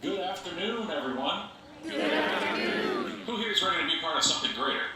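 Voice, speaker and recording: A man's voice: high voice, big pitch swings, brisk. A very echoey, noisy recording carries an actor as a genial male community leader at a podium. He speaks in an upbeat, folksy, salesy way, like a showman addressing his members in an audio drama. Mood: upbeat, rallying. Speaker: community engagement officer giving announcements. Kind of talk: audio drama speech to a gathering. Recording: very echoey public-address sound with clear noise.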